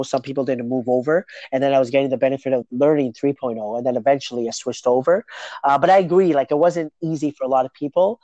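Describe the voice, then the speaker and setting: A man's voice: mid-range voice, warm tone, dry recording, muffled recording. A man hosting a daily podcast, chatting casually in a rambling way and often tagging "right?" onto his points. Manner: rambling, keeps asking "right?". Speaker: podcast host.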